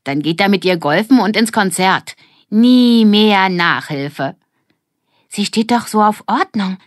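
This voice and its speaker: A woman voicing lively, scheming young girls from a gang in a children's audiobook, excited and emphatic. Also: mid-range voice, takes it slowly, no echo, clean studio sound, voice pushed up. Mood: gleeful. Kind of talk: audiobook character dialogue.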